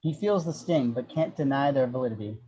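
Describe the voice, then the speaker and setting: A man's voice: medium pitch, brisk, warm tone, highs cut off. A man reading scripted action descriptions as narrator of an amateur table read. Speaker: narrator of stage directions.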